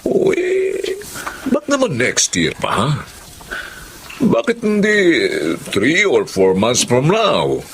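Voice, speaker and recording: A man's voice: fairly high pitch, huge pitch swings, extremely slow, vocal fry, exaggerated voice. Filipino father figure in his sixties, doting, folksy, swooping intonation, chatty, family drama, faint noise behind. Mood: eager, fatherly. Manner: fatherly, chatty, full of interjections. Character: doting, folksy sixty-year-old Filipino stepfather. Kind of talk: Filipino radio-style family drama acting.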